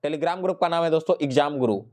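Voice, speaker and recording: Higher-voiced man teaching. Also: fast talker, a little mellow. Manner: instructional, direct address to viewers, English terms mixed in. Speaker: exam-prep teacher and channel host. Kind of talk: explanatory exam review addressed to students.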